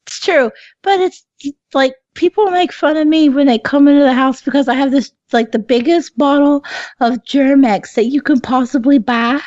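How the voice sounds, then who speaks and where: Hoarse, squeaky woman co-host. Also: normal speed, a little mellow, dry recording, lo-fi sound. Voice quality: squeaky, high rasp. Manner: laughs often, swears casually. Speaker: podcast co-host. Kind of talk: casual chat.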